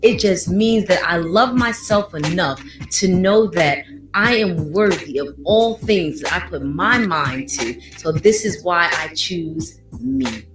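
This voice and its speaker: A woman delivering a short prepared message in a deliberate, affirming way. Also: lowish voice, animated. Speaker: podcast host giving a guest message. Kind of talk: prepared statement. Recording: echoey with music behind.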